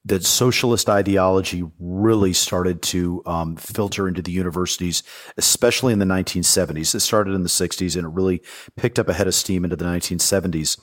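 Deep-voiced man explaining history. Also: steady tone, quick pace. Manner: thoughtful, explanatory, with frequent fillers and restarts. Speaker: guest, former Army intelligence soldier. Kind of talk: podcast interview, explaining history.

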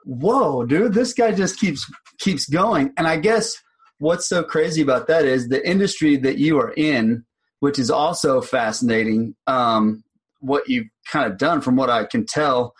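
A man's voice: medium pitch, a little slow, husky, dry recording. Podcast host guy, young, hyped, lots of "like", close mic, no room sound. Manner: enthusiastic, repeats himself, says "like" often. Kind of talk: casual podcast chat.

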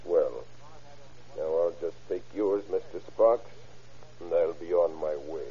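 A man's voice: low-pitched, takes it slowly. An actor playing a cocky, sardonic car thief who talks in laconic, slangy tough-guy style in an old radio crime drama. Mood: cold, menacing. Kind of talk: 1930s-40s radio crime drama acting. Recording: tinny, band-limited old radio broadcast.

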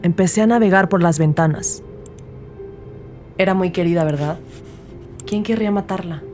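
A woman acting as an earnest young-on-the-job detective, telling her case in an audio drama. Her voice is mellow and rather low, measured and descriptive, with music underneath. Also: close to an everyday voice. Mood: surprised, curious. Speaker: narrator and lead character. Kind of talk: scripted audio drama narration.

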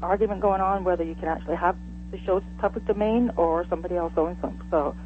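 High-voiced male caller on a tinny phone. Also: fairly even intonation, fast. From Canada. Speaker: caller, old-time radio fan. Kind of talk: casual phone call. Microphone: telephone. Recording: tinny phone line with hum and faint noise.

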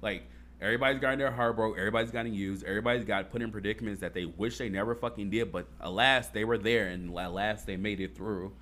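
A man's voice: fairly low pitch, quick pace, a bit bright, a little hiss or hum. A man in casual chat, talking earnestly and rambling, with fillers and swearing.

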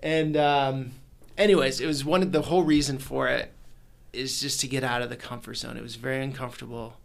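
Gravelly-voiced man telling travel stories. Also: medium pitch, brisk. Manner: animated storyteller, rambling with restarts. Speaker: guest, documentary maker. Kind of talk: casual storytelling conversation.

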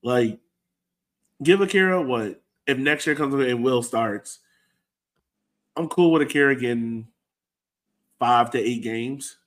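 A man with an average-pitched voice talks sports in a casual, conversational way. He sounds like a co-host on a fan show, thinking out loud and tossing out questions for discussion. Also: slow pace, long pauses.

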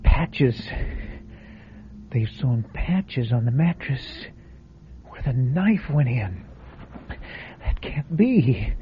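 An actor playing a nervous insurance claim investigator in a classic radio drama, stammering and muttering to himself, heard over a tinny old broadcast recording with faint hiss. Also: male voice, medium pitch, lively intonation, drawn-out words, pauses often. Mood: uneasy. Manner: jittery, stammering, talking to himself. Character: nervous middle-aged male insurance investigator.

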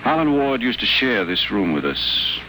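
An actor playing a guarded, secretive addict patient, confiding in low, careful tones, in 1940s radio acting style. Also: male voice, big pitch swings, moderate pace, pushed and bright, a little hiss or hum, low-quality recording.

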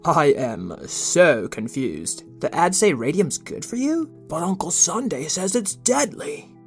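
A woman's voice: low voice, big pitch swings, a little slow, soft background music, full character voice. Young boy comic sidekick, played by a woman: goofy, flippant, food-mad, for children's audio drama. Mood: puzzled.

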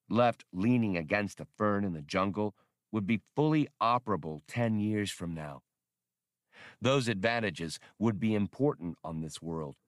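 A man's voice: fairly low pitch, no echo. A man giving a scripted audiobook narration of adventure fiction, recorded close to the microphone.

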